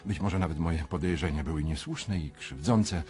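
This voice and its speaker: An actor playing a cold, calculating, irritable fiancé in a radio drama, speaking with measured, formal self-assurance. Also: male voice, extremely low pitch, a little mellow. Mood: tense, controlling. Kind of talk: 1990s Polish radio drama acting.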